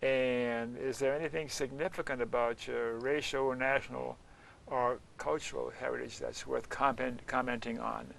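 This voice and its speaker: A man's voice: medium pitch, flat delivery, normal speed, gravelly, fairly bright tone, a little hiss or hum. A man hosting an interview, asking questions in a playful, teasing way. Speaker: host.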